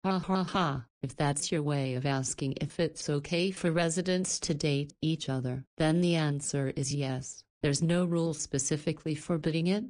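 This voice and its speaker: Visual novel matron: a woman as a motherly boss, deep for a woman, chatty, playfully chiding. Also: moderate pace, few pauses, a little mellow, close to an everyday voice. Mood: teasing, motherly. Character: motherly, teasing woman running a halfway house.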